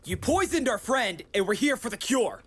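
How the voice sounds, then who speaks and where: Man acting an anxious, high-voiced young companion. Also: sing-song, moderate pace, heavy background noise. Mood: defiant. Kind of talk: acted audio drama. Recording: music behind the voice.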